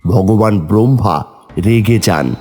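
A man's voice: on the low side, takes it slowly, mellow, faint music behind. A man narrating a scripted mythological story as its storyteller.

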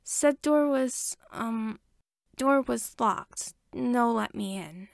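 A woman voicing a frightened little boy in a small, quiet voice, halting and stammering in broken phrases. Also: fairly high pitch, vocal fry, no echo, clean studio sound, full character voice. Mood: timid, upset.

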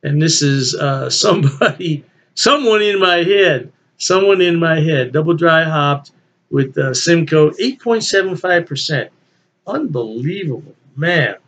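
Older man, higher-pitched voice, emphatic. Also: lively intonation, unhurried, fairly bright tone, husky. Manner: enthusiastic, emphatic, repeating phrases for emphasis. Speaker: beer reviewer, channel host. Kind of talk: casual unscripted review chat to camera. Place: small indoor room at home.

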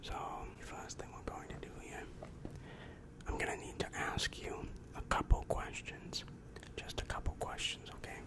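A young man voicing a weary, exasperated demon guidance counselor in an ASMR roleplay, whispering breathily and close to the microphone with slow, repetitive, patronizing reassurance. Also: close to an everyday voice. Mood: businesslike. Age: young adult. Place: small dim room indoors. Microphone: large microphone very close to mouth.

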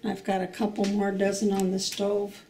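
An older woman showing how to cook in her home kitchen, explaining each step in a slow, homely way. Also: mid-range voice, completely flat delivery, dark tone, far from the mic, a little hiss or hum. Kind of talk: cooking demonstration.

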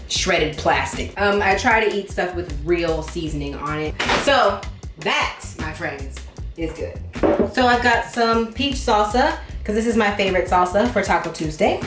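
A woman in her twenties chatting informally to viewers in slangy, playfully emphatic speech, recorded on a camera a few feet away in a home kitchen. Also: on the low side. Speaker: vlogger. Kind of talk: casual chat to viewers, grocery haul. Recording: echoey room, faint music behind.

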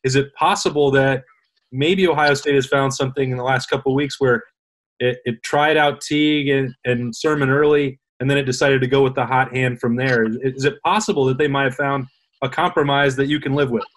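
A man's voice: medium pitch, fairly even intonation, a little fast. A man in his thirties hosting a casual sports interview, setting up questions and video clips in a conversational way. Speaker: host, sports reporter. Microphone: video call.

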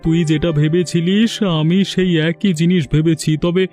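A slightly high, mellow-voiced college student, earnest and cautious, as a man plays him. Faint music plays beneath. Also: fairly even intonation, moderate pace, close to an everyday voice.

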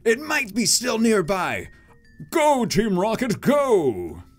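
A man voicing an excitable boy hero in an exaggerated, theatrical comic-book reading. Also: high voice, takes it slowly, soft background music, pitched above the performer's own voice, heavily acted. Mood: urgent. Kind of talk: dramatic comic-book reading with funny voices.

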